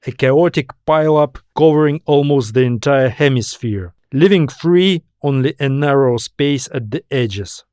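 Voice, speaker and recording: A man narrating a scripted science fiction story in a deliberate, dramatic storytelling manner. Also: medium pitch, darkish tone, dry recording, studio quality. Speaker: author and narrator.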